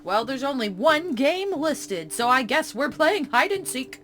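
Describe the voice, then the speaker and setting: A woman playing a horror visual novel, reading its text in a hush and breaking off into casual reactions. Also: sits high, big pitch swings, unhurried, a little hiss or hum. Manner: hushed reading broken by chatty reactions. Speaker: game streamer. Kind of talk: reading a game's text aloud with casual commentary.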